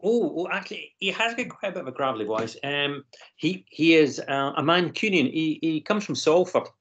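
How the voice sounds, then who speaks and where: A man's voice: on the high side, animated, moderate pace, fairly bright tone. A man teaching an online class, leading a discussion with many ums and restarts. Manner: hesitant, frequent ums and restarts. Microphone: video call. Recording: slightly dull video call audio.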